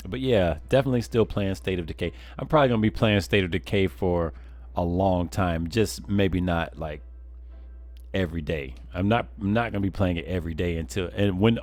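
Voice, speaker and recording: A man's voice: deep voice, a little mellow. A man live streaming a game, chatting casually with viewers in a folksy, rambling way, often repeating words.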